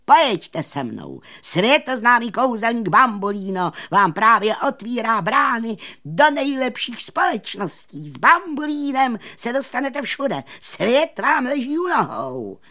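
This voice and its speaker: A showy little storybook magician voiced by a woman, loud and high, swooping theatrically through grand pronouncements. He is excitable and self-important, repeating himself with flourish. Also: hardly any pauses, full character voice. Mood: grand, persuasive. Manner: theatrical, repetitive, exclamatory, grandiose. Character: boastful excitable little male magician, a showman.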